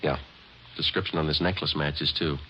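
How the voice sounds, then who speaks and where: Fields: a deadpan police sergeant. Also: male voice, a bass voice, normal speed, slight background noise. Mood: terse. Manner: clipped, deadpan. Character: male police sergeant narrator, terse, matter-of-fact. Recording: muffled vintage radio broadcast.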